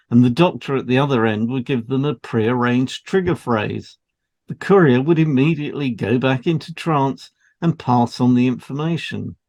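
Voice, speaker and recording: A man's voice: medium pitch, darkish tone. Man reading an educational podcast script. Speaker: podcast co-host, hypnotherapist.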